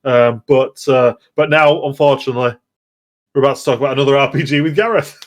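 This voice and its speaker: Male co-host, mid-pitched, conversational storyteller, repeats words as he explains. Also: fast. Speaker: podcast co-host. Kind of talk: casual chat, telling anecdotes.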